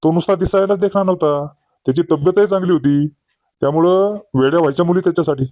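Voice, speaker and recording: Man voicing old village braggart, highish voice, earthy scolding talk, cartoon comedy, dull dry recording. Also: fast talker, dry recording, close to an everyday voice. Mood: storytelling. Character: boastful old village man, grieving, exasperated, telling tall tales.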